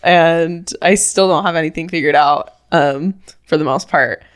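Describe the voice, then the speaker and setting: A woman's voice: low-pitched, a little slow. A woman in her twenties co-hosting a podcast, chatting casually and filling her talk with "like.". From Utah. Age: 20s. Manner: chatty, many "like" fillers, quotes her own thoughts. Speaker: podcast co-host. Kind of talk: casual chat.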